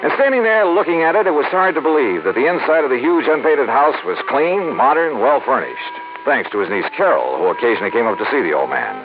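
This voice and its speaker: An actor playing a brisk male insurance investigator, narrating and speaking in a crisp, wry 1950s radio drama style. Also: medium pitch, very animated, over music, close to an everyday voice. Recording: muffled old radio recording with hiss.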